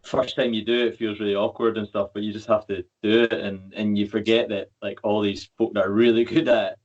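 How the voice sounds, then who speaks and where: A man's voice: on the low side, flat delivery, fairly quick. A man hosting a podcast, talking in a laid-back, casual way. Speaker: podcast host. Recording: dull lo-fi sound.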